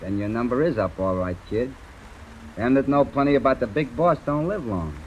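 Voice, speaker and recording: A man's voice: medium pitch, animated, normal speed, lightly acted. Man as blunt slangy gangster henchman, muffled radio. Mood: threatening. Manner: tough-guy slang, ungrammatical, blunt. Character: Trigger, superstitious dim male gangster henchman. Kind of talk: 1930s radio drama acting. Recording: dull noisy old radio recording with rain.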